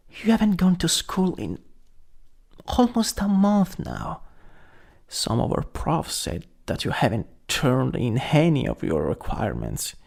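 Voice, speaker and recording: A young man acting a caring, worried best friend in an ASMR roleplay, gentle, hesitant and intimate. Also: medium pitch, gravelly, exaggerated voice.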